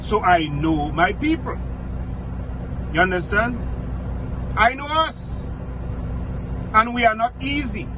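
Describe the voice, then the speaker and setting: Blunt Dominican man over street traffic. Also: sits high. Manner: blunt, preachy, repeats points for emphasis. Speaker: vlogger. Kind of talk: outspoken direct-to-camera commentary.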